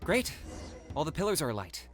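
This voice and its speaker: A man voicing a calm, practical young warrior in a video game, giving instructions in a cool, level way. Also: on the high side, brisk. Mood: encouraging.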